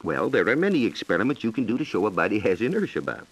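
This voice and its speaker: An American man explaining science in a measured, lecturing way, as a reporter in a scripted 1940s educational radio dialogue. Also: fairly low pitch, sing-song, a bit bright, slightly rough, poor audio. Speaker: science reporter and guest expert.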